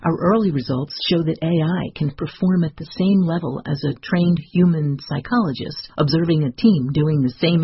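A man narrating a scripted article read-aloud, including quoted remarks, in a husky voice. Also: a little high, dry recording, highs cut off.

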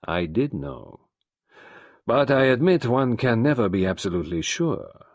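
A man voicing a grave, cynical middle-aged French doctor in a storybook reading, deliberate and world-weary. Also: mid-range voice, animated, no echo, studio quality, pitched above the performer's own voice. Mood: rueful.

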